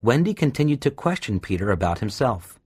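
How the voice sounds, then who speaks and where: A man's voice: mid-range voice, very animated, fairly quick, a little mellow, slightly rough, dry recording, clean studio sound. A man narrating a scripted children's storybook tale. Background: music.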